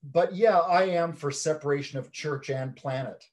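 A middle-aged man with a fairly high voice answers interview questions over a video call. He speaks in a measured, explanatory way, laying out his points steadily. The sound comes through a webcam mic in a large indoor hall. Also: darkish tone. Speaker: film director guest.

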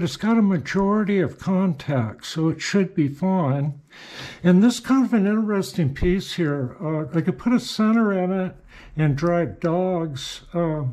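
A man's voice: high-pitched, darkish tone. An older man talking casually and unhurriedly as he works, half to himself, in a folksy way. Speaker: hobby machinist showing shop work. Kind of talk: casual workshop narration while working. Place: small cluttered indoor workshop.

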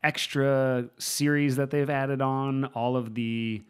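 A man's voice: mid-range voice, steady tone, takes it slowly, clear, resonant tone. A young man co-hosting a casual podcast over a video call on a large mic, with wry, dry jokes. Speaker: podcast co-host. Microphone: large studio microphone, video call.

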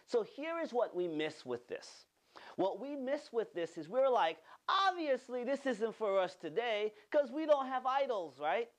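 A man in his thirties, a pastor delivering an animated online sermon from a studio, repeating words for emphasis. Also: very high voice, measured pace. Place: studio with green-screen backdrop.

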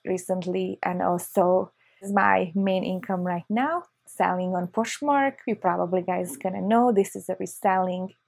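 Hungarian-accented woman with a gravelly voice. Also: lowish voice, unhurried, dry recording. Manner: chatty, excitable, with short laughs. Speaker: vlogger. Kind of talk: casual unboxing vlog. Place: living room on a leather couch, indoors.

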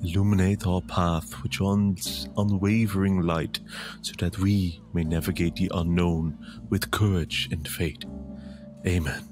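Low male voice, man acting as a polite curious inquisitor priest, formal, hesitant, tabletop game, music behind. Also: fairly even intonation, a little slow. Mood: solemn.

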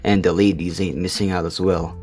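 A man's voice: sits low, flat delivery, fairly quick, darkish tone. A man giving scripted, comedic commentary as the narrator of a video essay. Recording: voice-over with music behind.

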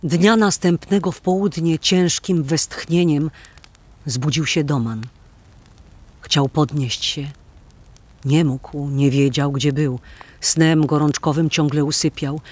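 A man narrating an old folk tale as a dramatic storyteller in a theatrical, deliberate manner. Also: on the high side, fairly even intonation, darkish tone.

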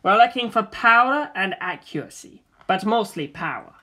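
A voice reading a screenplay aloud in a flat, deadpan way, stage directions and dialogue alike. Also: medium pitch, moderate pace. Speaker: script reader.

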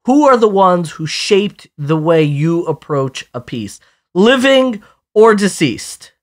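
A podcast host leads the interview, asking curious, conversational questions. The voice sits at a middle pitch. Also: sing-song, slow pace.

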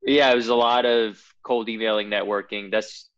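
A man's voice: fairly low pitch, fairly even intonation, normal speed, a bit bright, clear, resonant tone, dry recording, dull audio. A man of about thirty, a startup co-founder answering interview questions hesitantly with many fillers. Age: 30s.

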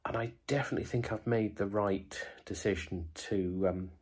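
A man with a cold, talking quietly in a hotel room in a casual vlog update, with many ums and hesitations. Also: fairly low pitch, steady tone, moderate pace, breathy edge. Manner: speaks softly, hushed, many ums. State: bunged up with a cold. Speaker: vlogger.

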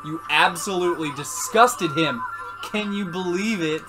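A woman's voice: fairly low pitch, a little slow, rough recording. A woman bantering casually over a video game in a crude, jokey, teasing way. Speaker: gameplay co-commentator. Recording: game music behind the voice. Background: video game music.

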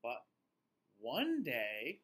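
A man in his thirties reading a picture book aloud to children like a teacher, with an exaggerated, drawn-out delivery. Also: mid-range voice, huge pitch swings, fairly bright tone, husky. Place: small indoor room, seated facing camera.

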